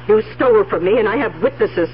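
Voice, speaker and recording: A woman's voice: mid-range voice, expressive, quick pace, a little hiss or hum, lightly acted. An older woman playing a stubborn, suspicious aunt in a 1970s radio drama, tart and clipped. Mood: accusing. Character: suspicious, stubborn old aunt living alone. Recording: muffled, humming old radio recording.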